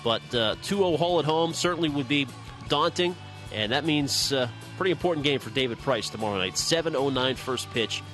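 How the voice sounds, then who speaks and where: A man's voice: mid-range voice, animated, brisk, a bit bright, soft background music. A man hosting a live radio postgame sports show, moving between recap and sponsor reads. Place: ballpark broadcast booth.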